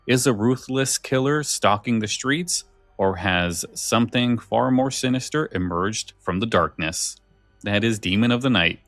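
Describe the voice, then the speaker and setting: A man co-hosting a podcast, moving between casual chat and read-out story summaries, sometimes halting in his phrasing. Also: mid-range voice, a bit bright, no room sound, soft background music. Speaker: podcast co-host.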